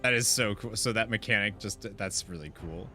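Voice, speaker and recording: Low, bright, animated male game streamer. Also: a little fast, faint music behind. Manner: animated, informal, reacts off the cuff. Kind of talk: casual livestream gameplay commentary.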